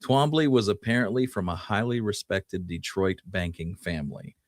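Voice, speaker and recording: A man's voice: sits low, moderate pace, no echo, studio quality. A man hosting a history show, telling Wild West stories in a casual, folksy, conversational way. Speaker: podcast host telling history.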